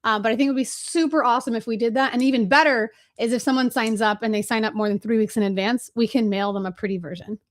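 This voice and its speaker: A woman from Chicago hosting a live marketing webinar, energetic and direct, talking fast with lots of fillers and asides to her team. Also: a little high. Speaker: webinar host and agency trainer.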